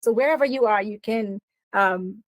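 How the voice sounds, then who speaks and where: A woman's voice: medium pitch, moderate pace, no echo. A middle-aged woman from Trinidad and Tobago with a Trinidadian accent, an earnest interview guest who talks about her career coaching with many fillers and restarts. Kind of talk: interview conversation.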